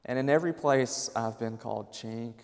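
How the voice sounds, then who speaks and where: A man in his late thirties from Mississippi, a minister and seminary professor, speaks to an audience with a measured, thoughtful delivery. His voice has a gravelly edge at a mid pitch. The sound carries a long reverb from a large hall. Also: a little mellow. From Mississippi, USA. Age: late 30s. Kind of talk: personal talk to an audience.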